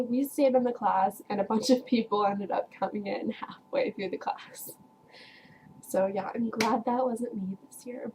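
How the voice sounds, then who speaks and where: A woman's voice: fairly high pitch, a little mellow, a little hiss or hum, poor audio. A teenage girl casually telling a long story to her viewers, rambling with lots of filler words and breaking into laughs. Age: teens. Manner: chatty, rambling, heavy use of "like" and "um"; frequent laughs while telling. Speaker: vlogger.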